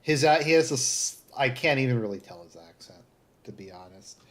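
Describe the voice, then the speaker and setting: A man with a Southern Ontario Canadian accent, chatting casually as a livestream host in a halting, rambling way that sounds like thinking aloud. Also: mid-range voice, animated, fairly bright tone. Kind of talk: casual chat, live commentary.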